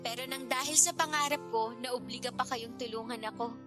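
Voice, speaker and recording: Woman playing a high-voiced, humble Filipino student niece. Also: steady tone, fairly quick, a bit bright, close to an everyday voice. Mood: embarrassed. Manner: polite, deferential. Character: humble, grateful young niece, a determined student. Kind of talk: Filipino radio drama acting. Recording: prominent music bed behind the voice.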